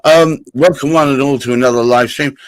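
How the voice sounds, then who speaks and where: A man hosts a live stream, chatting casually and with playful asides. His voice is a touch raspy as he gets over a cold, and it sounds close and dry, with little room around it. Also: medium pitch, fairly quick, no echo, low-quality recording. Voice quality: slightly raspy. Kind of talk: casual podcast chat.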